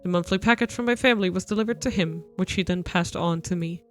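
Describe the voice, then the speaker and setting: A woman streamer reading a visual novel's narration aloud, steady and even. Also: fairly low pitch, fast, soft background music. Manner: steady narration with playful retorts.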